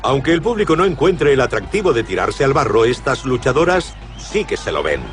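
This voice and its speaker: A man's voice: mid-range voice, very animated, fairly quick. Documentary narrator: man, scripted delivery, average register, noticeable music bed.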